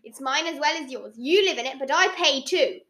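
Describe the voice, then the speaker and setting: A woman's voice: sits high, brisk, pushed and bright, roomy sound. A woman voicing a grumpy storybook aunt who dotes on her favourite and snaps at others. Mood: angry, bossy. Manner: doting to nephew, snappish to others.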